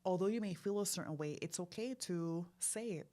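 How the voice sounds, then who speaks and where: Low-voiced New woman. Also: fairly quick, warm tone. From Brooklyn, New York. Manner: affirming, speaks with conviction, lots of agreeing murmurs. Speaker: podcast co-host. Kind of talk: casual conversational discussion.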